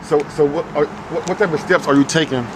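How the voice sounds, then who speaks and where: A man's voice: on the high side, brisk. Give me a casual male street interviewer who stammers a little, with faint traffic behind. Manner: casual, repeats answers back, stammers on restarts. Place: city street outdoors.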